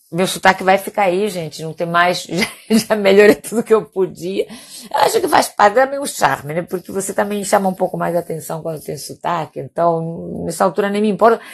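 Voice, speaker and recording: A woman's voice: fairly low pitch, gravelly. A woman in her fifties from Mallorca, a native Catalan and Castilian speaker with a Spanish accent, chatting informally as a doctor hosting a live stream, often hesitating and checking in with tag questions. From Mallorca, Spain. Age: 50s. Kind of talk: casual live chat with viewers.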